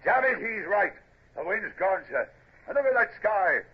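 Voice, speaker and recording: An actor playing a hearty, blunt naval officer in an old radio adventure drama. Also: male voice, high-pitched, big pitch swings, moderate pace, husky, full character voice. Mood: tense. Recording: dull, noisy old radio drama recording.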